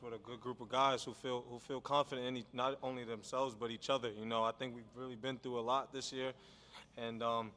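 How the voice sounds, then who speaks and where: A man's voice: mid-range voice, a bit bright. Young man, level voice in echoey hall. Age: young adult. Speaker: college basketball player. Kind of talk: post-game press conference answer. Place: large press conference hall. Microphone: tabletop microphone. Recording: echoey hall, background noise.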